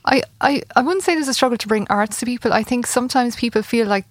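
A woman in her late forties with an Irish accent, a festival organiser talking as a guest in a casual interview, with many pauses and fillers. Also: on the high side, dry recording, studio quality. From Ireland. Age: 40s. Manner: hesitant, many fillers and restarts. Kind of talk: conversational radio interview.

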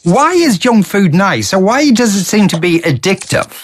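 A man hosting a radio talk show, with a lively, wry presenting manner. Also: high voice, normal speed, slight background noise. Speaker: radio host.

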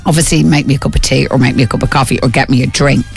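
A woman's voice: rumbling low, steady tone, racing, gravelly, soft background music. A middle-aged Irish woman co-hosting a morning radio show, chatting playfully, teasing her co-host and mimicking the requests people make. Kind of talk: casual breakfast radio chat. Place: radio studio.

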